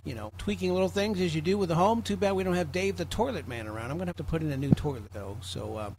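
A man's voice: on the high side, lively intonation, quick pace, gravelly, darkish tone, a little hiss or hum. A man from Illinois with an American accent, chatting casually and explaining things from a small home office. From Illinois, United States. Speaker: guest on a group call.

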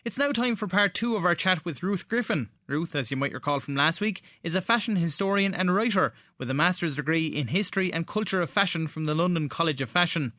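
An Irish man hosts a radio programme with scripted links. His voice is on the high side and brightly projected, recorded in a very dry studio. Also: lively intonation, brisk, few pauses, no echo. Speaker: radio show host.

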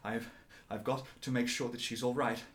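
A man's voice: mid-range voice, level tone, moderate pace, a bit bright, lightly acted. A man playing a desperate, guilt-ridden husband near breaking in a radio horror drama, pleading melodramatically. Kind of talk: old-time radio horror acting.

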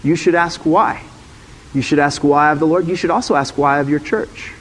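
A middle-aged man preaches in a relaxed, conversational way and often turns to the congregation with questions. His voice sits a little on the high side for a man. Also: moderate pace. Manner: conversational teaching, asks the room questions. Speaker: former pastor, guest speaker. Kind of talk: sermon.

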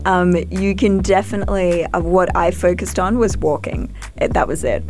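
Earnest, low-voiced woman guest. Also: fairly even intonation, normal speed, a little mellow, faint music behind. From Australia. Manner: earnest, reflective, occasional laughs. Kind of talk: conversational interview answers.

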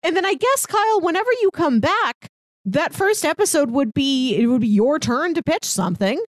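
A woman's voice: sits high, expressive, moderate pace, no echo, clean studio sound. A woman hosting a podcast in an informal, casual chat. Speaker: podcast host.

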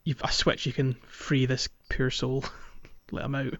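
A man co-hosting a podcast, talking casually and haltingly as he explains things, with the odd chuckle. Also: mid-range voice, monotone, fairly bright tone, no room sound. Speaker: podcast co-host. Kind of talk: casual game-walkthrough podcast chat. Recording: dull, lo-fi, dry close recording.

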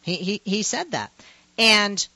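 A woman with a low voice for a woman, hosting a call-in talk radio show in a passionate, emphatic, conversational way. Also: animated, takes it slowly, creaky, sharp tone, no room sound. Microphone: studio microphone. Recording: muffled radio broadcast, dry.

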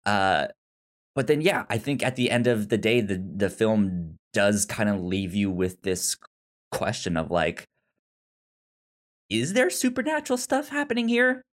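A man co-hosting a film podcast in casual discussion, halting and stuttering, often repeating sounds and words. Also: on the low side, sing-song. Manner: halting, hesitant delivery.

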